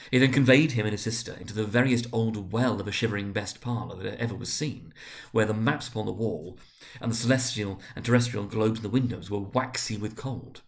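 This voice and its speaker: Low-voiced man narrating dramatically. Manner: expressive, theatrical storytelling. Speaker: storybook reader.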